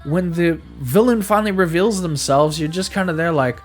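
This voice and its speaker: Animated male game reviewer with music behind. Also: on the high side, normal speed. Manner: conversational, emphatic, stammers and repeats words. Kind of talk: casual video game review to camera or voice-over.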